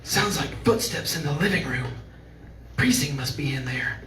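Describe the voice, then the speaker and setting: A man's voice: a little high, animated, moderate pace, fairly bright tone. A man playing an anxious, accusing man in an old-time radio suspense drama, urgent and melodramatic. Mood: hushed, urgent. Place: live stage performance in a venue. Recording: echoey room, distant mic, faint noise.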